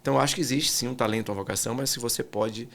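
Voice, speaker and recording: A man from Salvador with a Bahian accent, a guest artist speaking thoughtfully and softly in an interview, often pausing with fillers. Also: medium pitch, gravelly. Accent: Bahian Portuguese. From Salvador, Bahia, Brazil. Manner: soft-spoken, thoughtful, trails off with fillers. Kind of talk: reflective interview conversation.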